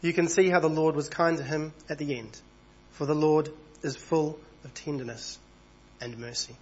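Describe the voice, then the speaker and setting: A man over thirty-five, husky from a cold, preaching an evening church sermon in a conversational way and reading passages aloud. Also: a little high, steady tone, normal speed, a little mellow, lo-fi sound. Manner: conversational, reads passages aloud, small asides.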